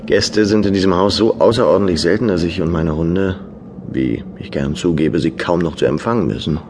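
An actor playing a gruff, reclusive landlord who is cold and mocking, talking in curt, clipped sentences in a radio drama. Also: male voice, low-pitched, moderate pace. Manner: curt, clipped sentences, sudden hushed menace. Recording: noisy room ambience.

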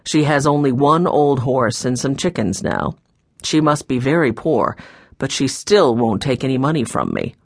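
A woman's voice: rumbling low, sing-song, moderate pace, no echo, clean studio sound, exaggerated voice. A woman voicing a kindly, troubled old grandfather in a children's audiobook, sounding tired, sad and subdued. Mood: firm, weary.

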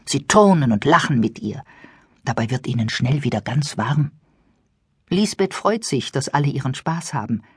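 A woman narrating a children's audiobook with expressive, scripted storytelling. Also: extremely low pitch, moderate pace, slightly rough, no echo, clean studio sound.

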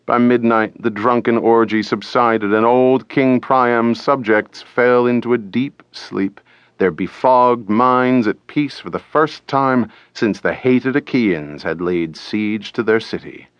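A man reading an audiobook as dramatic scripted narration, deliberate and theatrical, close to the microphone. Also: medium pitch, clean tone, no echo, lo-fi sound.

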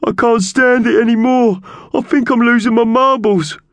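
A man's voice: on the high side, moderate pace, a little mellow, no room sound, pitched above the performer's own voice, close to an everyday voice. A man voicing a fat, dim magpie crook in a storybook, with a whiny, plaintive manner. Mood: sobbing despair. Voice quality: gurgly.

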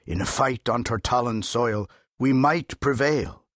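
An actor playing a dignified older duke, speaking as a cautious leader in a formal, solemn manner, in an audiobook. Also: male voice, mid-range voice, a little slow, fairly bright tone, no echo, studio quality. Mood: solemn warning. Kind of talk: full-cast audiobook character acting.

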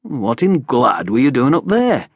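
A man's voice: medium pitch, huge pitch swings, unhurried, gravelly, dry recording, exaggerated voice. A man voicing a hearty, plain-spoken, hospitable short broad lord in an audiobook, with a bluff, informal manner. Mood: bluff, welcoming. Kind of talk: audiobook character voice.

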